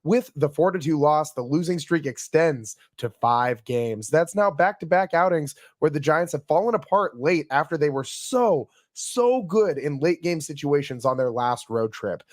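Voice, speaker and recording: A man with a raspy, worn voice hosts a casual sports podcast, chatting through a game recap with frequent fillers and restarts. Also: fairly high pitch, no room sound, studio quality. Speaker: podcast host.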